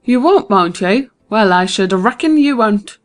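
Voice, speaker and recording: A man's voice: high-pitched, lively intonation, measured pace, pitched above the performer's own voice. A male narrator voicing a fussy, hot-tempered older farm aunt who scolds and exclaims in folksy speech. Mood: indignant.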